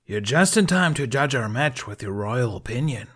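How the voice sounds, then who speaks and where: A man's voice: medium pitch, animated, moderate pace, fairly bright tone, husky. A man voicing a mischievous young female troublemaker in a storybook, flippant and teasing. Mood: snarky, teasing.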